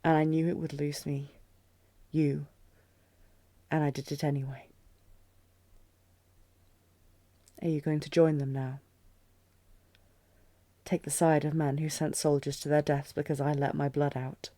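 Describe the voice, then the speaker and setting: A woman's voice: deep voice, flat delivery, normal speed, long pauses, dark tone, no echo, lightly acted. A woman playing a commanding, tormented queen in a fantasy audio drama, regal and restrained but intense. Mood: grave, defiant. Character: commanding, tormented queen in love with her general.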